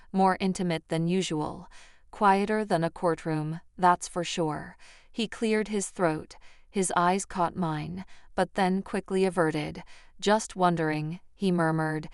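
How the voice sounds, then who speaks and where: A woman's voice: lowish voice, darkish tone, clear, resonant tone, dry recording, studio quality. A woman reading a scripted first-person story as its narrator.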